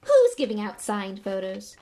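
A woman voicing a self-important, jovial male teacher in a storybook, hearty, booming and patronising. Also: huge pitch swings, unhurried, warm tone, slight background noise.

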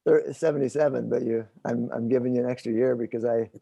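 Mellow man in his forties, closely recorded. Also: mid-range voice, fairly even intonation, quick pace, vocal fry, slightly rough, no room sound, muffled recording. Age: 40s. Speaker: host interviewing his father. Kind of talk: conversational explanation and interview.

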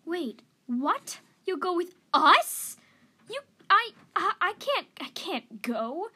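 A woman's voice: sits high, animated, slow pace, voice pushed up. A woman voicing a polite, nervous young female cat in a storybook, hesitant and stammering. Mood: alarmed.

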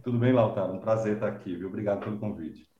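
A man's voice: lowish voice, rapid-fire, echoey room, lo-fi sound. A man from Bahia, a composer and professor talking unhurriedly in an interview, thinking aloud with many fillers. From Bahia, Brazil. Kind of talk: relaxed conversational interview.

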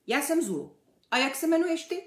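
Storybook chimp, male, young, cocky show-off played by a woman; very animated, pushed-up chatter in an echoey room. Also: high voice, voice pushed up. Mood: brash greeting. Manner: brash, chatty, showing off. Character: cheeky boastful young male chimpanzee, circus runaway. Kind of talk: children's story character reading. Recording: roomy echo.

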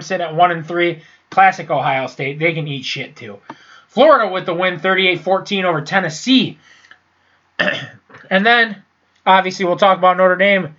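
A young man with a high, bright, edgy voice, stuffed up from a sinus infection. He rambles through a casual sports recap, blunt and profane, with a slightly muffled recording. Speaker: sports podcast host.

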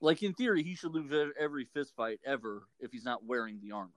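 A man from Texas in casual chat as a podcast co-host, joking and quick to correct the other speaker. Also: mid-range voice, expressive, dry recording, poor audio.

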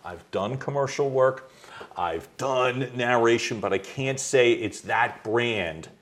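A middle-aged man from the United States, a voice coach being interviewed at home, who talks loudly and animatedly and laughs easily. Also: mid-range voice, a little hiss or hum. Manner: loud, animated storyteller with quick laughs. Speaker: guest, executive voice coach. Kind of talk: conversational interview. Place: his home.

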